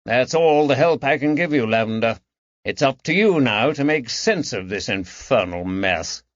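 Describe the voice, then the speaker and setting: Man acting a sardonic gentleman surgeon, dry-sounding. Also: mid-range voice, lively intonation, moderate pace, dry recording, highs cut off, natural voice. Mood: brisk. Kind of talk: audiobook character dialogue.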